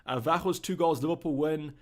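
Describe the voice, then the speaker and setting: A man's voice: on the high side, fairly quick. Chatty man hosting a livestream. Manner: relaxed, chatty, many fillers and restarts. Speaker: livestream host. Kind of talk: casual chat answering viewer questions.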